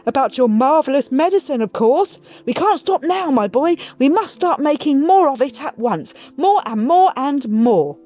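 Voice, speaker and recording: A woman voicing a wildly excited, impatient father with grand schemes in a children's audiobook, emphatic and urgent. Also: sits high, normal speed, hardly any pauses, pitched above the performer's own voice. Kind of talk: audiobook character voice for children's story. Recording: muffled audio with music behind.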